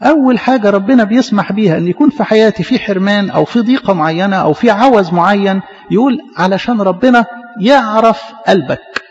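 A high-voiced man with an Egyptian accent delivers a quick sermon, throwing out repeated questions to the congregation. The recording is muffled and rings with the long reverb of a big hall. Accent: Egyptian Arabic. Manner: questioning, repetitive. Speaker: preacher.

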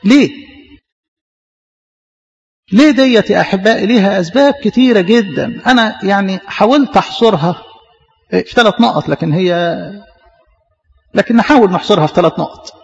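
Fast-talking Egyptian preacher in a hall. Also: male voice, sits high, gravelly, muffled recording. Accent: Egyptian Arabic. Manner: questioning, repetitive. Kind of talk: sermon.

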